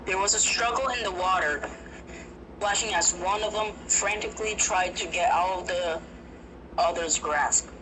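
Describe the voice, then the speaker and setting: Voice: medium pitch, moderate pace. A narrator telling a first-person horror story, hesitant and prone to restarts. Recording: noisy recording.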